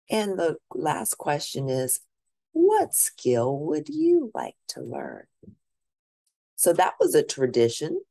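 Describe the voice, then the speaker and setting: A woman teacher reading a children's picture book aloud at a deliberate pace, pausing to ask her listeners questions. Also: low voice, darkish tone, dry recording, clean studio sound.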